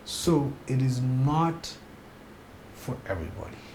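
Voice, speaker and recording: A man's voice: medium pitch, expressive, takes it slowly, a little mellow, echoey room. A man from Kenya, a TV series director, giving frank, emphatic opinions in a sit-down interview.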